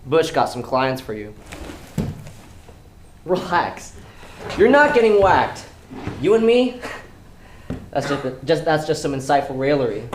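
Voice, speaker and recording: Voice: medium pitch, very animated, measured pace, pauses often. An actor in a stage play playing an indignant, cynical loan shark who rants with sarcastic emphasis. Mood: wry, irritated. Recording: roomy live theater, audible noise.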